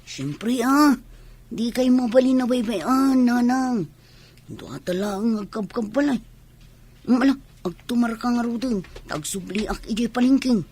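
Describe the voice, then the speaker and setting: A woman's voice: on the high side, measured pace, a little mellow, a little hiss or hum, close to an everyday voice. A woman with an Ilocano accent playing a dutiful, caring grown child in a radio drama. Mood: caring, reassuring.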